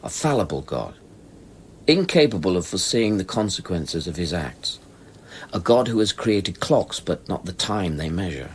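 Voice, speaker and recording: A man acting in a radio play as a grieving, contemplative scientist on an alien world, speaking in a hushed, deliberate way. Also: deep voice, sing-song, slight background noise. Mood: brooding, philosophical. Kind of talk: radio drama acting with first-person narration. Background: music.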